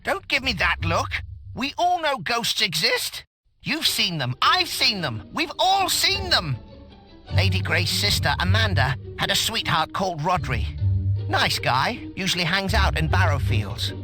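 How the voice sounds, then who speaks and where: Animated, excitable rumour-monger. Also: male voice, high-pitched, measured pace. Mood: insistent. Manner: animated, flippant, emphatic. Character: excitable, conspiratorial male rumour-monger, brash and flippant. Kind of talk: theatrical fantasy game acting. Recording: loud music behind the voice. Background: music.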